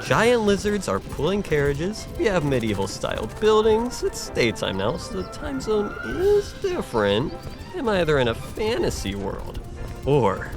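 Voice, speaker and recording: A young man voicing a clueless, excitable teenage dropout in an anime parody, rambling sarcastically to himself. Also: high-pitched. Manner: rambling, sarcastic self-talk. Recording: music behind the voice.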